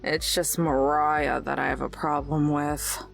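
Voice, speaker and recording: A woman's voice: low-pitched, little pitch movement, unhurried, vocal fry, soft background music. A woman voicing a blunt, guarded young woman in a visual novel, with a dry, defensive manner. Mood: annoyed.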